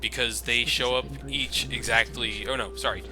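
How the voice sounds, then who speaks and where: A man's voice: medium pitch, steady tone, moderate pace, background noise. A man giving casual video game commentary in an informal, sweary way. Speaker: gameplay commentator. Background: game music and sound.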